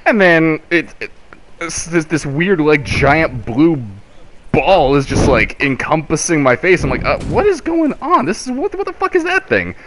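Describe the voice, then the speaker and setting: A man co-hosting a casual video game chat, explaining things in a halting, rambling, self-correcting way. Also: fairly high pitch, big pitch swings, background noise. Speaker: podcast co-host.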